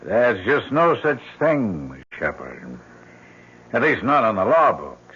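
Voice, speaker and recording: An actor playing a weary, matter-of-fact police lieutenant in a radio drama, blunt and skeptical, with hesitant pauses. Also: male voice, fairly low pitch, wildly swooping pitch, a bit bright, breathy edge, exaggerated voice. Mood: dismissive, weary. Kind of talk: 1970s-style radio drama acting. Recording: dull lo-fi old radio broadcast.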